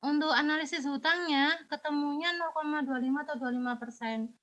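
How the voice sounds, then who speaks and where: A woman with a high voice works through exam answers for her students, patient and methodical. A cold leaves her sounding congested and slightly unclear. The recording is dull and lo-fi. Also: steady tone, fairly quick, a bit bright. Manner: patient, step-by-step explaining, addresses students directly. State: has a cold. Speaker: teacher.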